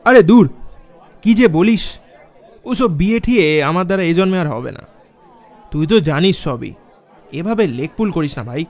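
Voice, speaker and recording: A man's voice: high voice, expressive, moderate pace, pauses often, a little mellow, a faint crowd. An actor playing a skeptical young office man in a dramatised horror story. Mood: casual, evasive. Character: young office man recounting his eerie night, dismissive, skeptical. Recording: music under the voice. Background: background music.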